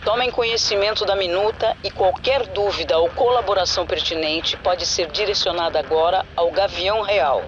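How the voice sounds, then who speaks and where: A commanding female conspiracy boss in a radio play. Her formal, businesslike voice comes dull through a small speaker, with clear noise around it. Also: lowish voice, moderate pace, few pauses. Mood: brisk, commanding. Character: crisp, domineering female conspiracy leader heard through speaker. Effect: small speaker box. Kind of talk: audio drama acting.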